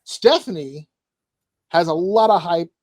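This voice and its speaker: A man's voice: high-pitched, animated, measured pace, darkish tone, no room sound. A man hosting a casual wrestling panel chat, joking crudely with his guests. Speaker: podcast host.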